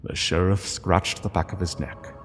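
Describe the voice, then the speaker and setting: Deep, slow male narrator, reverberant. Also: fairly even intonation, music in the background. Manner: solemn, hushed, deliberate. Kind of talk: sombre scripted story narration.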